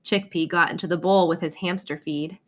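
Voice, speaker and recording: A woman reading a children's picture book aloud in an expressive storytelling manner. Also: on the low side, fairly quick. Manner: expressive, acts out exclamations and dialogue. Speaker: storybook reader.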